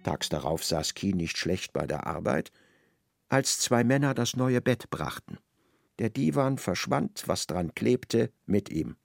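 A man narrating a literary radio drama in a measured, precise, dry manner. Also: lowish voice, sing-song, vocal fry, dry recording, faint music behind.

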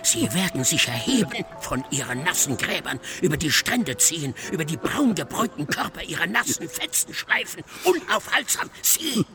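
A man's voice: a little high, big pitch swings, moderate pace, vocal fry, few pauses, bright, forceful tone, faint music behind, exaggerated voice. An actor playing an indignant, idealistic male scholar in a stylised radio play, earnest, rhetorical and reproachful. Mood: bitter, prophetic.

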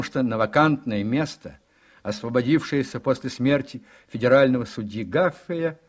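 A man narrating a radio play from a script in a storytelling manner, with a husky voice. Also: mid-range voice.

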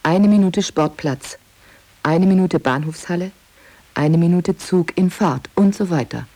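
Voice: mid-range voice, a little slow, dark tone. A performer reading a radio maker's quoted list in short clipped phrases for a documentary. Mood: clipped, matter-of-fact. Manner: clipped, repetitive listing.

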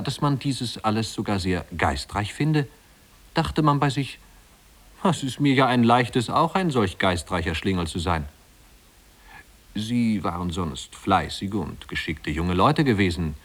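Very deep-voiced man narrating a radio tale. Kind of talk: scripted literary narration, 1950s radio drama.

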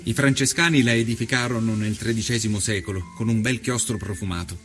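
An actor playing an earnest 1890s journalist, narrating his travels through Sicily in a measured, literary documentary style. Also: on the low side, a bit bright, soft background music, natural voice. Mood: measured, descriptive. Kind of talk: scripted documentary narration, read as a first-person travel report.

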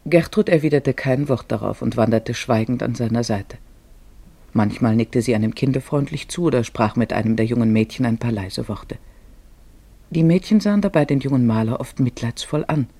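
Guy narrating a literary radio play, mellow husky voice, dry close sound. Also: mid-range voice, moderate pace, no room sound.

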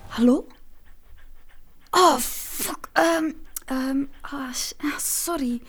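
A woman's voice: sits high, drawn-out words, faint music behind, full character voice. An actress with a Flemish accent playing an exasperated woman in a breakup who dotes on her dog, in a naturalistic comedy radio play. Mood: flustered, hesitant.

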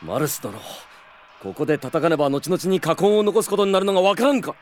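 An actor playing a stern, proud duke and commander in an anime-style radio drama, with commanding aristocratic speech. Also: male voice, a little high, animated, fast, a little hiss or hum, close to an everyday voice. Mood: stern, sceptical.

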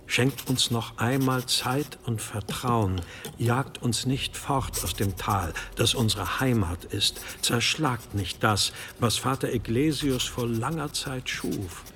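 A devout abbot of a mountain monastery in a radio play. He speaks at a middle pitch in solemn, archaic, formal phrases. There is faint noise around the voice. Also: takes it slowly, hardly any pauses, a bit bright. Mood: solemn pleading. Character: pious, pleading abbot of a mountain monastery, impatient with his brothers. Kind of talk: radio drama acting.

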